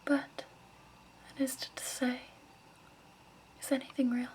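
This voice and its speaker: A woman whispering softly and breathily in a gentle, measured ASMR roleplay as a shopkeeper. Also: high-pitched, level tone, slight background noise. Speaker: ASMR roleplay host as crystal shop keeper.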